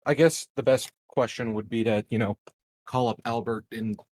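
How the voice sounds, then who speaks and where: A man playing a tabletop game, improvising dialogue casually in a hesitant, measured way. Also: mid-range voice, steady tone, a little mellow, dry recording.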